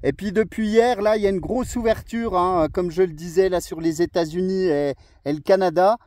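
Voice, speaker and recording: High-pitched Frenchman chatting on radio. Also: moderate pace, a little mellow, dry recording. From France. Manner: chatty, friendly, tag words at phrase ends. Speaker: amateur radio operator. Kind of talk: casual radio contact chat.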